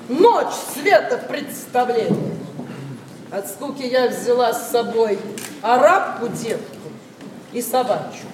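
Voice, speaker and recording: A haughty old noblewoman of sixty-five from a classic verse comedy. She grumbles bluntly and slowly, swinging widely in pitch, in a big reverberant hall. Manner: haughty, theatrical, drawn-out exclamations. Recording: echoey noisy hall, distant microphone.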